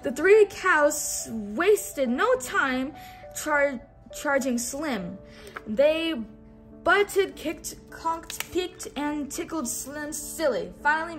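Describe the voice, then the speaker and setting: A woman's voice: high-pitched, fairly bright tone. A woman hosting a children's read-along, reading a storybook aloud in a teacherly way and inviting listeners to repeat words.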